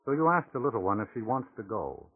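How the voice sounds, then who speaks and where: A man with a deep-leaning, rough-edged voice narrates a 1940s radio documentary. He reads in a measured, literary way. The old recording is narrow and muffled. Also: very animated. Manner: measured, literary storytelling delivery.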